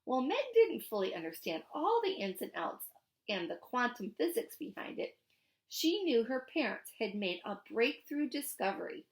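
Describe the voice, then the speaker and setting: Female storybook reader for young listeners. Higher register, highly animated melody, deliberate teacherly emphasis. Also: low-quality recording. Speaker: teacher reading a children's book aloud. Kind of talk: expressive storybook read-aloud.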